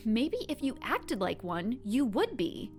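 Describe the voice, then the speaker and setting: A woman playing a guarded, softhearted young woman with superpowers in an audio drama, in a conversational, emotionally open manner. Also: on the high side, big pitch swings, slow pace, soft background music. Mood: exasperated.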